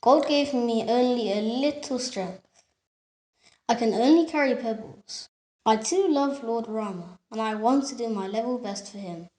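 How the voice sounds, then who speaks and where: A man's voice: extremely high pitch, unhurried, darkish tone, roomy sound, voice pushed up. A man voicing a small, earnest male squirrel who talks politely and pleads to be allowed to help. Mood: pleading.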